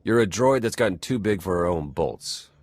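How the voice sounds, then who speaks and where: A man playing a sardonic agent in a video game, trading quick retorts. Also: low voice, lively intonation, dry recording, clean studio sound.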